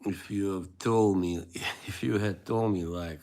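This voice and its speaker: Man, very low voice, rambling live-stream chatter, frequent chuckles. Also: fairly even intonation, unhurried, warm tone. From Bosnia and Herzegovina. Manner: rambling and hesitant, chuckling often. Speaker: livestream host. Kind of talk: casual live-stream chat, replying to viewers.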